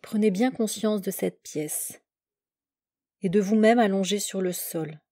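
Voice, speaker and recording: A woman guides a relaxation meditation in a soft-edged, mellow voice. She speaks very slowly, setting out calm instructions in short, separate phrases. The sound is dry and close, with no room around it. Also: medium pitch, no echo. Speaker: yoga nidra instructor.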